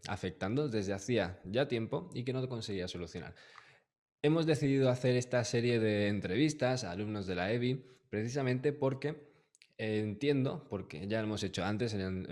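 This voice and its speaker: A man's voice: on the low side, darkish tone. A man from hosting a podcast interview, talking to listeners directly in a friendly, explanatory way. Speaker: podcast host.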